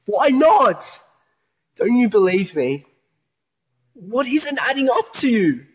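A man's voice: very high voice, very animated, takes it slowly, breathy edge, exaggerated voice. A man acting in a modern radio play as a distressed, earnest young man pleading his innocence over a split personality. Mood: desperate pleading.

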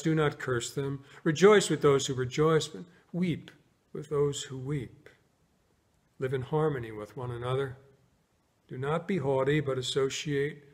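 An American man in his sixties, a pastor preaching and praying in a solemn, measured way with pauses between short phrases. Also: medium pitch. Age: 60s. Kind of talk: sermon, scripture reading and prayer. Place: inside a church, near the altar.

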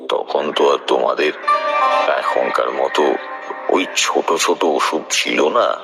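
A man's voice: a bass voice, takes it slowly, creaky. A man narrating a horror story in the first person, dramatic and suspenseful, with a lingering delivery. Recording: loud music bed under the voice. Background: loud background music.

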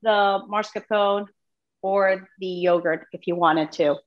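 Woman, relaxed guest chef, home kitchen, device across the room, lo-fi video call audio. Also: mid-range voice, takes it slowly, slight background noise. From Honduras. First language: Spanish. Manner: relaxed explaining while cooking. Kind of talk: live online cooking class.

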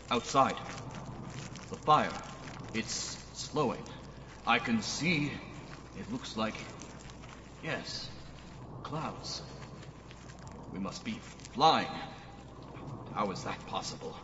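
A man playing a plucky, bewildered old-fashioned space hero in a radio serial, exclaiming and talking to himself haltingly. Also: lowish voice. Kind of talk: pulp radio serial acting. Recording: dull, reverberant, faint noise.

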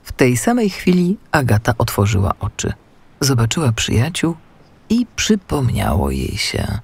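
A man narrating a scripted children's audiobook with expressive storytelling. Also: medium pitch, a little slow, creaky, slight background noise.

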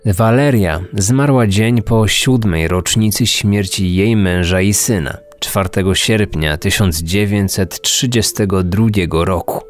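A man's voice: on the low side, unhurried, hardly any pauses. A man hosting a true-crime podcast in scripted narration. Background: music under the voice.

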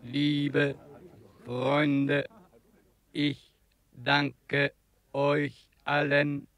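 Very slow, creaky alien. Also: male voice, a little high, no echo, full character voice. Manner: halting, drawn-out, monotone. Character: gentle, wounded male alien visitor taken for a god. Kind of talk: 1980s German children's audio drama acting.

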